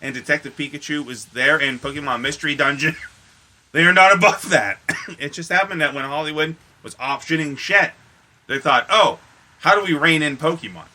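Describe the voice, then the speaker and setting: A man in casual podcast conversation, explaining things in a nerdy, animated way with some swearing. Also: a little high, normal speed. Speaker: podcast panelist. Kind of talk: casual chat.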